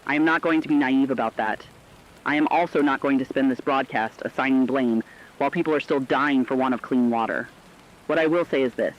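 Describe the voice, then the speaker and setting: A man playing a sombre radio correspondent in a disaster drama, with a mid-pitched voice. He speaks deliberately and earnestly, and the sound is dull and lo-fi. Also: close to an everyday voice. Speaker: radio broadcast commentator. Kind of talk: scripted reflective radio commentary.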